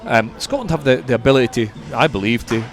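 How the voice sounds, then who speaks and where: A man giving conversational sports punditry as a radio guest, measured and repeating words for emphasis. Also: mid-range voice, big pitch swings, crowd noise.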